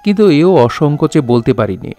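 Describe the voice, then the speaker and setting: An actor playing a dignified literary storyteller in an audio drama, speaking formally and with measured care. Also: male voice, a little high, big pitch swings, mellow, faint music behind. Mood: reflective, formal. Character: dignified storytelling landlord-poet, gently scolding his village listeners. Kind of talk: Bengali audio drama narration, literary diction.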